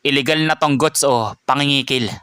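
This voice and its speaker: A man voicing a stern, calm male police chief who speaks firmly and seriously. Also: medium pitch, clean tone, dry recording, clean studio sound, lightly acted. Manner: firm, measured, serious.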